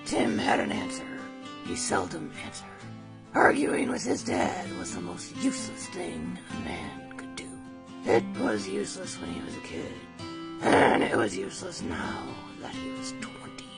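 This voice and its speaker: A woman's voice: on the low side, wildly swooping pitch, measured pace, creaky. A woman reading a western story aloud in a casual, chatty way, breaking off to comment on the text, with music behind. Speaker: storytime host.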